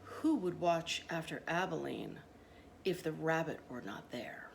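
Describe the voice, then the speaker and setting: A woman playing a stern, mysterious old grandmother in a storybook, speaking in a slow, deliberate, ominous way. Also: low-pitched, animated, gravelly, voice pushed down, heavily acted. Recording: noticeable background noise.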